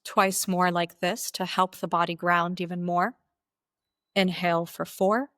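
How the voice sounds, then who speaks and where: Low-pitched woman guiding meditation, close dry sound. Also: level tone, a bit bright, dry recording. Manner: slow, deliberate, instructional phrasing with pauses. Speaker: meditation guide. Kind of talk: guided meditation.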